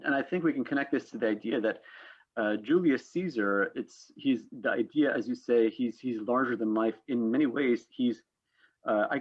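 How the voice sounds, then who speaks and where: A man's voice: mid-range voice, lo-fi sound. A man hosting a panel discussion and explaining history, repeating words as he builds toward his point. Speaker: panel host.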